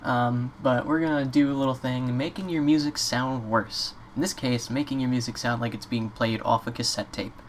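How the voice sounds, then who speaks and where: A man giving a casual, off-the-cuff software tutorial in an informal, slightly hesitant way. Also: medium pitch, fairly even intonation, normal speed, few pauses. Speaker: tutorial presenter. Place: indoors at a computer. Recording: clear background noise, keyboard clicks.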